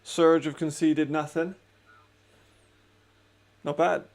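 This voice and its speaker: Man, raspy, gravelly, slightly high pitch, casual watch-along host, chatty. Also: level tone, takes it slowly, darkish tone. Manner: chatty, laughs often, talks to himself. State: hay fever. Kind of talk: casual live commentary.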